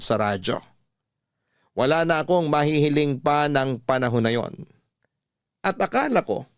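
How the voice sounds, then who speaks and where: A man's voice: mid-range voice, no echo, studio quality, natural voice. A man with a Filipino accent reading a wistful first-person letter as narrator of a radio storytelling show. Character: wistful young wife telling her story.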